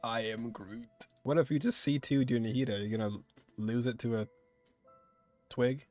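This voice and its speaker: A man's voice: medium pitch, steady tone, moderate pace, a little mellow. A man in his twenties giving casual, slangy, exclamatory live commentary on a game as a streamer. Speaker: gaming streamer. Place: indoor room, on webcam. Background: game music.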